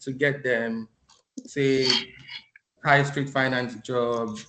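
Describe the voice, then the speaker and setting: A man's voice: medium pitch, little pitch movement, slow pace, noisy background. A man on a panel thinking aloud as he restates the question he is about to answer. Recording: echoey room.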